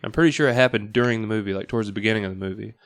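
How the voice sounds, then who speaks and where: A man speaking casually as a guest on a podcast, halting now and then as he thinks aloud. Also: lowish voice, no echo, clean studio sound. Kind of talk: casual chat. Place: podcast studio.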